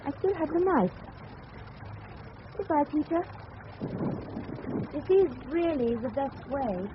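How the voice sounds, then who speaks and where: A woman's voice: high-pitched, expressive, takes it slowly. An actress playing a desperate woman murderer bidding a tragic farewell in a pleading, theatrical radio drama style. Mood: anguished, resolute. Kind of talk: 1970s British radio drama acting. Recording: muffled, noisy old radio recording.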